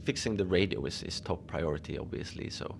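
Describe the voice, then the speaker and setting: A man playing an easygoing, whimsical lone Antarctic station manager, rambling in a conversational, deadpan audio-fiction monologue. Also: deep voice, brisk, vocal fry, noisy background. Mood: mild, pondering. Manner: musing, conversational, deadpan about absurd things. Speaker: fiction podcast narrator, lone facility manager at a polar station. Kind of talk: first-person audio fiction monologue, rambling radio log.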